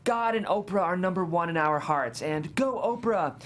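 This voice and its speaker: A man's voice: sits high, animated, moderate pace, fairly bright tone, a little hiss or hum. A man reading scene descriptions and stage directions as scripted narration at a table read.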